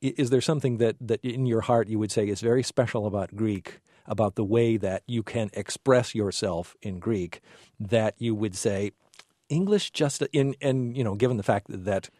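A man's voice: fairly low pitch, normal speed, dark tone, no room sound, clean studio sound. A man hosting an interview who asks long, searching questions and often restarts his sentences. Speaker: interviewer host. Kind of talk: conversational interview.

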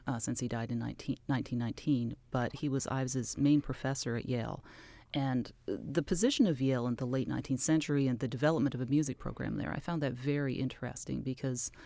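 A woman's voice: a bass voice, vocal fry, dark tone, no room sound. Thoughtful Canadian-raised woman explaining. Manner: thoughtful, explanatory, asks rhetorical questions. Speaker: author and music scholar. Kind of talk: radio interview guest.

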